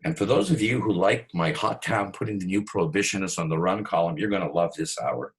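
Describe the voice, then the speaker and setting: A man hosting an interview show, giving an expansive, flattering introduction and often repeating himself. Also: sits low, a little fast, poor audio. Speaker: talk show host. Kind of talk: casual, effusive interview introduction.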